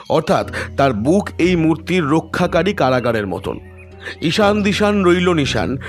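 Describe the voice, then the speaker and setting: A male actor plays a composed, confident detective in an audio mystery. His voice is a little high for a man and his delivery is deliberate and explanatory. Music runs softly behind him. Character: calm, self-assured male detective explaining a riddle. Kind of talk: dramatised audio detective story.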